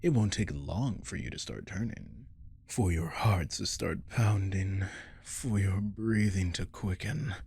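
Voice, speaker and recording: A man playing a captive werewolf in an ASMR roleplay, seductive, menacing and possessive, speaking in a slow, coaxing, teasing murmur close to the mic. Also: sits low, gravelly, a little mellow, breathy edge, no room sound, exaggerated voice. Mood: ominous, taunting. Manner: slow, coaxing, teasing, often dropping to whisper. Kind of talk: ASMR audio roleplay acting. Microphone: close microphone.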